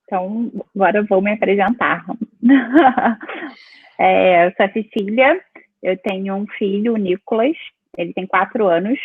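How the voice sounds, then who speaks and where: A woman's voice: mid-range voice, a little slow, slightly rough, dry recording. A woman from Rio de Janeiro with a Carioca accent, introducing herself in a chatty webinar conversation. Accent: Carioca Portuguese. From Rio de Janeiro, Brazil. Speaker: guest. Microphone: video call. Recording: tinny phone-like call audio.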